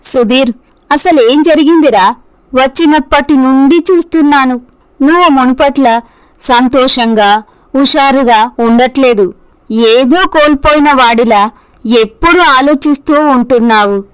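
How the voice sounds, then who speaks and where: Lady playing a caring worried mom in a moral story, high clean voice, affectionate and probing. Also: measured pace, clear, resonant tone, rough recording. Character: caring, worried mother of a grown son. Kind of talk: dramatized moral story dialogue.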